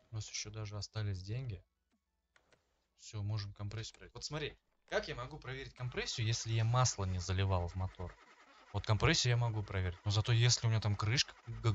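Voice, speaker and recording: A man giving casual let's-play commentary as a gaming host, talking informally and slangily as he thinks aloud. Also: lowish voice. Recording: dull lo-fi microphone sound.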